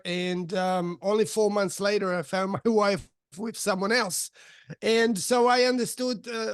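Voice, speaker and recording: A man in his fifties who grew up in Switzerland, telling his life story as a podcast guest, halting with many fillers and restarts. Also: high voice, no echo, studio quality. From Switzerland, of Italian parents. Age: 50s. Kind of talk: candid life-story interview.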